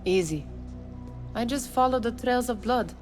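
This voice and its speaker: A woman's voice: a little high, lively intonation, a little slow, soft background music. An actress playing an insistent, taunting woman from someone's past in a video game, probing and intense. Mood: cool. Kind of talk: dramatic video game acting.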